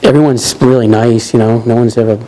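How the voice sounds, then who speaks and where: A man in his forties with a Boston accent, a truck driver chatting casually in an interview, relaxed and rambling with lots of "you know". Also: mid-range voice, a little fast, slight background noise, rough recording. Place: office lounge, indoors.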